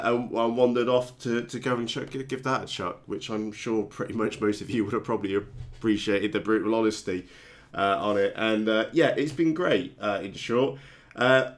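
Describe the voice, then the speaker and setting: A man with a lowish voice hosts a relaxed hobby chat. He sounds stuffed up and congested from a cold. He rambles, stops and restarts, and fills his talk with ums. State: congested with a cold, blocked nose. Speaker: host of a hobby chat show. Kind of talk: casual live-stream chat.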